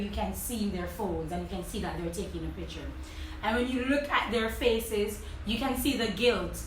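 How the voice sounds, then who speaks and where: A young Jamaican woman co-hosting a podcast, chatting and laughing in a lively, casual way. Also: medium pitch, slight background noise. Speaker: podcast co-host. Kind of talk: casual chat. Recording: echoey room, faint ambience.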